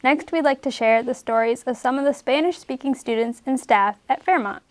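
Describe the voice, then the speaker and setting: A woman's voice: high voice, brisk. A teenage girl anchoring a school news show, reading her script earnestly.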